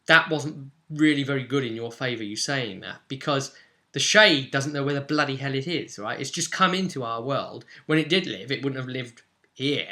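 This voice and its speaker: A British man casually recounting a tabletop roleplaying session as game master, in an animated, informal way. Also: medium pitch.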